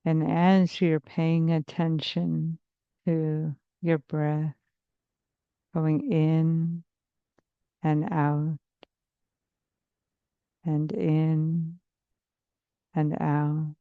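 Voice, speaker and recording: Woman doing guided meditation, really slow n soothing, long pauses, low voice, dry close sound bit dull. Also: monotone, clean tone, no room sound. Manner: soothing, measured, hypnotic phrasing with long pauses. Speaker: therapist leading a guided meditation.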